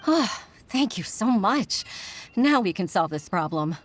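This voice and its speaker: A woman's voice: medium pitch, very animated, unhurried. An actress playing a polite, grateful townswoman in a video game, speaking courteously.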